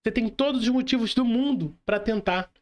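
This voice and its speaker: A man's voice: very high-pitched, a little fast, darkish tone. A young Brazilian man in motivational podcast chat, emphatic and slangy, speaking straight to the listener.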